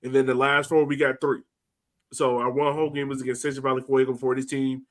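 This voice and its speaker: A man's voice: medium pitch, level tone, brisk, a bit bright. A man chats loosely on a sports podcast. He rambles through his points and laughs easily. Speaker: podcast co-host. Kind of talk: casual chat.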